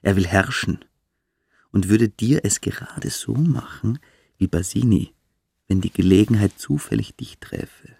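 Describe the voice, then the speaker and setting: A man acting in a German radio play as a cruel, mystical teenage boarding-school boy, lecturing and brooding, sometimes hushed. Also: fairly low pitch, unhurried, vocal fry, husky, no echo, clean studio sound, full character voice. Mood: fanatical, intense.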